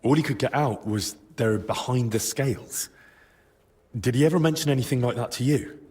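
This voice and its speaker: A man's voice: mid-range voice, darkish tone, lightly acted. Male actor as earnest young medieval hero. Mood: respectful. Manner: earnest, measured, respectful. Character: earnest, determined young man in medieval Bohemia. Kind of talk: dramatic video game cutscene acting.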